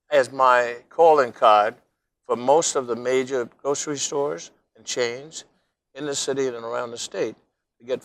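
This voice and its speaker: An older man hosting a local interview show, talking in a rambling, folksy way and addressing viewers as folks. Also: medium pitch. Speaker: talk show host.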